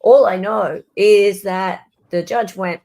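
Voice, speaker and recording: A woman hosts a casual livestream about legal matters. She speaks informally and chattily, addressing viewers directly, with a mid-range pitch. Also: steady tone, slow, vocal fry, mellow. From Australia. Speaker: livestream host. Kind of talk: casual live legal commentary chat.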